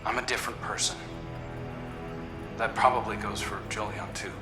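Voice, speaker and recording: A man playing a self-doubting, haunted character in a video game, speaking in a halting, subdued way. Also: fairly low pitch, close to an everyday voice. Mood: hesitant, reflective. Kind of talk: dramatic game dialogue. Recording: roomy echo, music behind.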